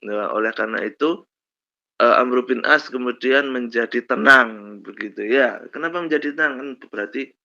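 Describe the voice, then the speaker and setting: A male lecturer with a slight cold, telling stories slowly with many fillers in a lesson on Islamic law. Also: medium pitch, creaky, pushed and bright. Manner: slow storytelling with many fillers and "kan begitu ya". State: has a cold, coughs. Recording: dull lo-fi recording.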